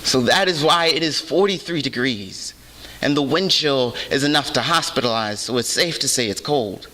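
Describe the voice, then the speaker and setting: A man's voice: mid-range voice, moderate pace, sharp tone. A man performing earnest, rhythmic spoken word poetry at a university read-in. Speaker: student reader at a read-in. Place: university center event room. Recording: long hall reverb.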